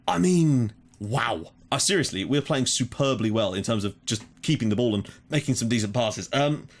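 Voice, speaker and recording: A man's voice: medium pitch, normal speed. A man casually commentating on his football management game. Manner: chatty, excitable during goals. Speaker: let's play host.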